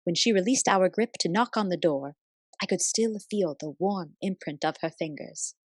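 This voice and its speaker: A woman's voice: fairly low pitch, moderate pace, dry recording, lightly acted. A woman playing a devoted detective's assistant, narrating a radio play in an earnest, literary way. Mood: breathless admiration.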